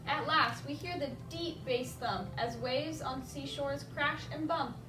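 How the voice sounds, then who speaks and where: A woman with a high voice reads a rhyming children's story aloud in an expressive story-time manner, pausing to speak straight to the kids. She is recorded at a distance from the mic in a roomy, echoing space, with music behind her. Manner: expressive, addresses children directly with simple questions. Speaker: children's librarian reading a picture book at story time. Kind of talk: read-aloud rhyming children's story with asides to young listeners.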